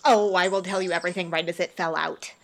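A woman's voice: fairly low pitch, fairly even intonation, quick pace, gravelly, slight background noise. A woman playing a boastful, exuberant working man and amateur actor, declaiming theatrically in a Shakespearean radio play. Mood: excited, bombastic.

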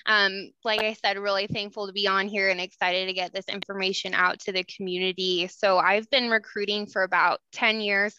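Woman interview guest, flat steady delivery, crisp bright tone, slightly muffled remote line. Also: mid-range voice, no room sound. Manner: measured, earnest, frequent "um"s. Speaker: guest, aviation talent recruiter. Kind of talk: interview answers.

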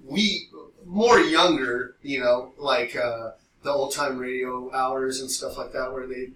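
A man co-hosting a podcast in casual, rambling chat, telling things in an animated way and swearing freely. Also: mid-range voice, takes it slowly. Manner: animated storytelling, frequent swearing. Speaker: podcast co-host. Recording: roomy echo, distant mic, faint noise.

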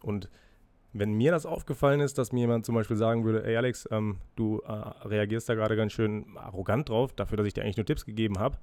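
Ein erkälteter Mann spricht frei und nachdenklich in seinem Podcast, reflektiert über sich selbst, mit Zögern und Füllwörtern. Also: male voice, on the low side, fast talker, darkish tone, no room sound. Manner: freely spoken, musing, self-reflective with hesitations. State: has a cold, breathing heavily. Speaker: podcast host. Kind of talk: casual solo podcast monologue.

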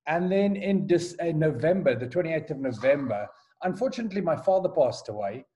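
A South African man in his forties speaks in a mellow, slightly high voice. He talks in a relaxed interview way, stumbling and repeating words as he gathers his thoughts. He is a school headmaster telling his story. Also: fairly quick, highs cut off. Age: 40s. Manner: hesitant, many restarts and repeated words. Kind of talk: casual podcast interview. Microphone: call recording.

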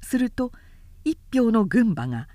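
Expressive female storyteller with swooping pitch. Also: measured pace, no room sound, clean studio sound. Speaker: professional narrator. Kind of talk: dramatic literary audiobook narration.

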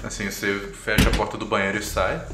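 A man's voice: lowish voice, level tone, fast, heavy background noise. A man with a Brazilian accent improvising tabletop RPG narration as game master, setting scenes piece by piece. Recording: roomy echo, music underneath. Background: background music.

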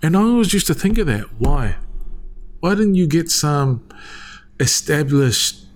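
A man's voice: a little high, sing-song, measured pace. A man in his forties telling his story in a rambling, casual way, often asking "you know what I mean?". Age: 40s. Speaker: guest sharing his church story. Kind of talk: casual conversational testimony.

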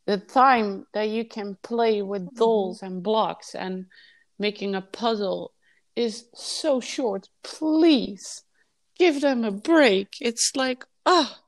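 A woman's voice: medium pitch, slow. A woman from the Netherlands with a Dutch accent, chatting casually in English, her second language, in an emphatic storytelling manner. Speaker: podcast host and childcare worker. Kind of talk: casual chat about a TV show.

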